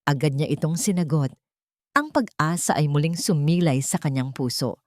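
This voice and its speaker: A woman's voice: low voice, moderate pace, a little mellow, dry recording, studio quality. A woman reading a scripted love story as narrator, in a solemn, melodramatic manner.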